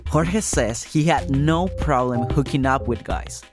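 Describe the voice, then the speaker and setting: A man's voice: medium pitch, a little slow. A man hosting and reporting a podcast, reading scripted first-person narration. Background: music.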